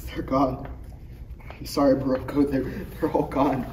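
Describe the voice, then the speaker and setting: A man's voice: medium pitch, little pitch movement, a little slow, close to an everyday voice. A man playing an emotional, bumbling young Jedi apprentice in an earnest, dramatic parody table read. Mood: distraught confession. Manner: earnest, swings from murmuring to shouting. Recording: echoey room, distant mic, faint noise.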